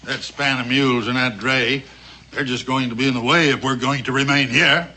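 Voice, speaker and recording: Actor playing old American frontiersman, folksy tall-tale teller, genial, piercingly bright voice, TV western. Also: mid-range voice, fairly even intonation, normal speed, a little hiss or hum, natural voice. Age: older. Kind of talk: 1960s television western acting. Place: studio set of a log tavern.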